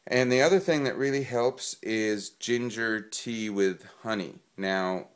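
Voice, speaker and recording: A man with a head cold, stuffed up, giving casual singing tips and rambling with plenty of ums. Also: low-pitched. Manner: rambling with many fillers. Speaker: singing vlogger. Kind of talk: casual spoken tips to camera.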